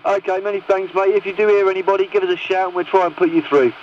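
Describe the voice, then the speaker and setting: A man's voice: sits high, little pitch movement, fast talker. A man working a CB radio net in matey, procedural talk. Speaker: CB radio operator. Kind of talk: casual radio net chat. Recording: dull, noisy CB radio transmission.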